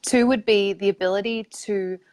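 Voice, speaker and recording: A woman guest in a relaxed online interview, talking as a spiritual coach. She is emphatic and blunt, with an upbeat, easy manner. Her voice sits at a middle pitch. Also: moderate pace.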